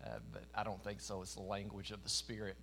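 A man in his forties from East Tennessee with a Southern accent, preaching a sermon to a congregation in a folksy, emphatic way that swells into louder bursts. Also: fairly low pitch, monotone, fast, a little hiss or hum. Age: 40s. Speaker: traveling preacher. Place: church meeting in front of a congregation.

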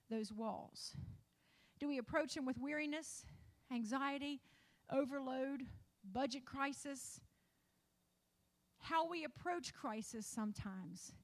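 A woman pastor preaching a sermon in a repetitive, rising cadence that builds toward shouts. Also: high voice.

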